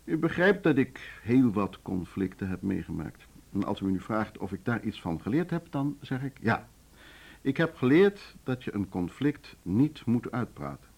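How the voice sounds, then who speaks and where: An older board chairman with a low voice. He speaks formally and courteously, choosing his words in a measured, diplomatic manner, as in a radio drama. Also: big pitch swings, vocal fry, darkish tone, husky, full character voice. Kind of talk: Dutch radio play acting.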